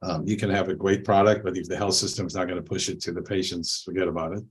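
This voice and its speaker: Low husky-voiced man on a call. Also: fast talker. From Israel. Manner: relaxed, conversational, many fillers. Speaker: panel guest, angel investor. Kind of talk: panel discussion over video call. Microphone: video call.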